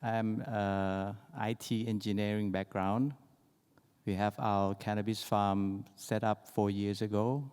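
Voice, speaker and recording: A man's voice: on the low side, little pitch movement, slow pace, a little mellow, smooth. A man, a farm owner with an engineering background, introducing himself in brief, simple sentences. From Thailand. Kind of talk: panel introduction. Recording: conference hall reverb.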